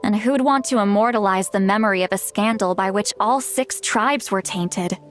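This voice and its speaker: An actress playing a thoughtful, wry woman who explains things in measured, eloquent video game dialogue. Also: mid-range voice, soft background music, close to an everyday voice. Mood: rueful.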